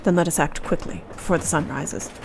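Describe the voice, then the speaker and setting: A woman's voice: low-pitched, fairly even intonation, a little fast, creaky, slight background noise, poor audio. A woman in a radio play acting a brave, clever young Victorian wife, speaking earnestly with formal period diction. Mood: uneasy, resolute.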